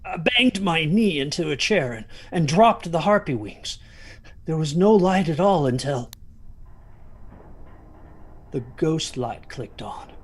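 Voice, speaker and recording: A man's voice: fairly high pitch, animated, slow, long pauses, slight background noise. A man acting an anxious, haunted narrator of a dramatic first-person ghost story, hesitant and brooding in his delivery. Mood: haunted, tense. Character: anxious haunted man recalling a stormy night.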